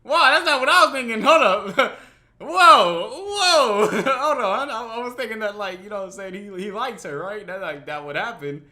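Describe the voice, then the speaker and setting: A man hosting a reaction video, chatting casually and slangily to viewers in an animated way. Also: high voice, normal speed. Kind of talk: casual excitable commentary.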